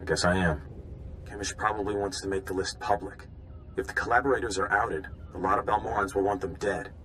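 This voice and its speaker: An actor playing an anxious, conscientious undercover informant in a video game, hesitant and deferential. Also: male voice, on the low side, steady tone, brisk, a bit bright, slight background noise, close to an everyday voice, rough recording. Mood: worried.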